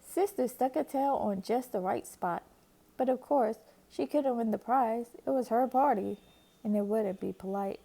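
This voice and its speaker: Gravelly woman reading a storybook. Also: on the high side, measured pace. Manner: expressive, lively narration. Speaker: storybook reader. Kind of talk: children's book read-aloud.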